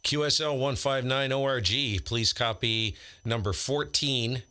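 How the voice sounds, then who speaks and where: A man's voice: medium pitch, unhurried, pushed and bright. A man hosting an amateur radio live stream, trading call signs and making grumbling, exasperated asides.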